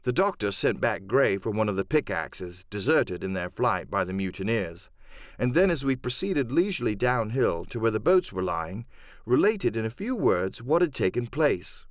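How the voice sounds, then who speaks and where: Lively male audiobook storyteller. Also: medium pitch, dry recording, studio quality. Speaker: audiobook narrator and voice actor. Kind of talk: dramatic scripted first-person storytelling.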